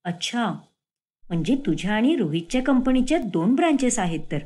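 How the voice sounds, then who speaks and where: A woman's voice: on the high side, sing-song, fairly quick, warm tone. A woman playing a sly young wife. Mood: pleased. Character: sly young wife, sharp-witted and watchful.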